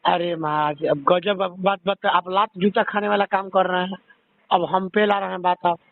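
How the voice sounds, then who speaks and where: A tinny phone line carries a man's fairly high voice. He has a Bhojpuri accent and works as a reporter, interviewing by phone. His questioning is pushy, confrontational and scolding. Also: little pitch movement, normal speed, gravelly. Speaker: media reporter questioning a caller. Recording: tinny, band-limited phone call.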